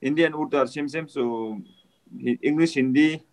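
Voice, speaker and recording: A man with a Nepali accent, a native Nepali speaker, answering interview questions in halting, simple English. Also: on the high side, darkish tone. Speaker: interview guest, mountaineer. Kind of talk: casual interview.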